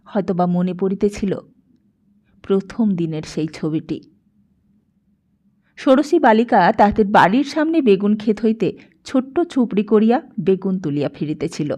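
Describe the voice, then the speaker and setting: A woman narrates a literary story a script in a measured, expressive way. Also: medium pitch. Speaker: audiobook narrator.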